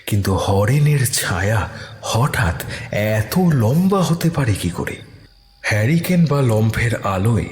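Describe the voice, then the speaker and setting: A man narrating a scripted horror story dramatically, with suspenseful, deliberate pauses. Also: fairly low pitch, a little hiss or hum.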